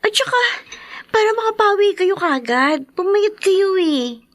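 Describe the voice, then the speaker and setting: Teenage girl, very high voice, meek, dutiful, hesitant, radio drama daughter. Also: animated, unhurried, exaggerated voice. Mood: meek, caring. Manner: soft-spoken, deferential, hesitant.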